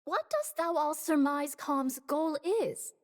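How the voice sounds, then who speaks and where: A woman's voice: high voice, slow, big-room sound. An actress playing a noble woman warrior who speaks in old-fashioned, courtly language.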